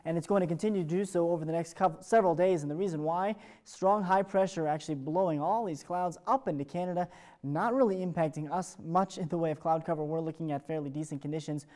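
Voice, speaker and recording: A man's voice: a little high, fast talker, a little mellow. A man with an American accent presenting a morning television weather forecast in a chatty, upbeat broadcast manner. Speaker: television morning show meteorologist.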